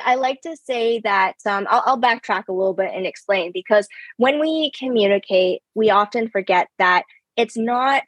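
A woman's voice: medium pitch, breathy edge, no room sound. A young woman, a podcast guest giving conversational answers, articulate with small restarts and light laughs. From Canada. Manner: articulate, repeats words at starts, laughs lightly. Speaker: podcast guest, brand strategist.

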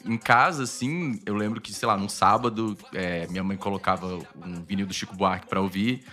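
A Brazilian man in his thirties, a guest musician, talking casually in a relaxed, rambling way with many fillers and laughs. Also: lowish voice, brisk. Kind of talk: casual interview chat.

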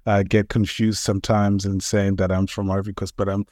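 A man's voice: deep voice, little pitch movement, brisk, a little mellow, dry recording, studio quality. A middle-aged man from Ghana, a sports marketer answering interview questions with enthusiasm and many fillers and restarts.

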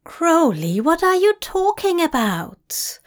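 A woman voicing a fussy, gentle male angel, speaking softly and primly. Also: high-pitched, big pitch swings, takes it slowly, heavily acted. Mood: exasperated. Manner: gentle, cooing, prim.

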